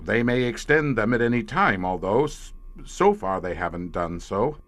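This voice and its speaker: An actor playing a weary, grim professor who commands a secret police squad, speaking formally and hesitantly in an audio horror drama. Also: male voice, fairly low pitch, big pitch swings, fairly bright tone, no echo, soft background music. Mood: explanatory, formal. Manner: measured, hesitant, formal, darkly matter-of-fact.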